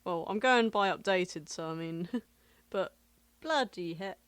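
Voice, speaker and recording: A woman's voice: fairly low pitch, a little slow, a bit bright, clean tone, dry recording. A woman co-hosting a podcast in casual, wry comedic chat. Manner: wry, jokey. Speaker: podcast co-host.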